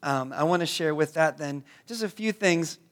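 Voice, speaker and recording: A hoarse, raspy-voiced man in his thirties, a pastor praying and then preaching, who often stumbles and repeats words. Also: a little high, normal speed, a bit bright. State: hoarse from a cold. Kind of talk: prayer and sermon.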